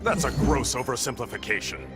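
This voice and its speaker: A man's voice: mid-range voice, lively intonation, brisk, loud music behind the voice, close to an everyday voice. Proud, commanding undersea warrior king, acted by a man. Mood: haughty. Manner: regal, formal, commanding. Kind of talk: dramatic video game dialogue.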